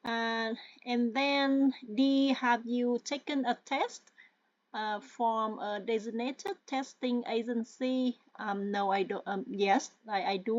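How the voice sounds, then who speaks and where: A woman's voice: medium pitch, dry recording. Patient woman. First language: Vietnamese. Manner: patient, often checks in with the listener; hesitant, with many fillers. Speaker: tutorial presenter. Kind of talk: step-by-step screen-share walkthrough. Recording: dull, lo-fi dry voice-over.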